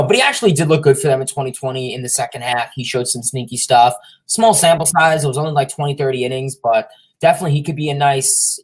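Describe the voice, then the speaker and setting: A man's voice: medium pitch, low-quality recording. A young man co-hosting a podcast, talking casually about baseball with many fillers and restarts. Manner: filler-heavy, meandering. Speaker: podcast co-host. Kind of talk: casual sports chat.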